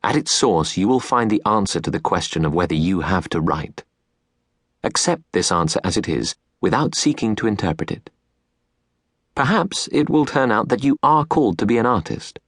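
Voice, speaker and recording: A man with a fairly low voice narrates literary prose for an audiobook. His delivery is earnest and measured, each phrase placed deliberately. The sound is close and very dry, as in a studio booth. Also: expressive, a bit bright, dry recording. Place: recording studio.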